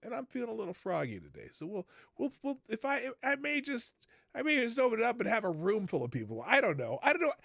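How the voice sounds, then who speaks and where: A man hosting a live talk stream from a studio, chatting casually in a rambling, animated way that repeats phrases and drops the odd swear word. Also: extremely high pitch, fast, vocal fry, no echo. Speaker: livestream talk show host. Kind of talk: casual live-show chat. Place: home studio. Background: faint music.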